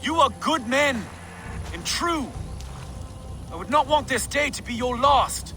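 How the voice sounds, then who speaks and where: A saxon town official, forceful high voice, speechy and earnest, game drama. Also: male voice, expressive, a little slow, soft background music, heavily acted. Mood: impassioned appeal. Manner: earnest, oratorical. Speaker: town reeve. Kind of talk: dramatic video game acting.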